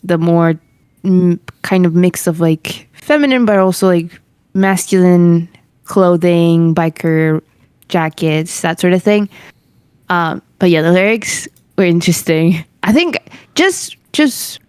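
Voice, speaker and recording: A woman with a lowish, smooth and resonant voice chats casually as a podcast co-host. She talks hesitantly and searches for words, and the recording is close and dry. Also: takes it slowly, darkish tone, no echo. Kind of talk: casual chat.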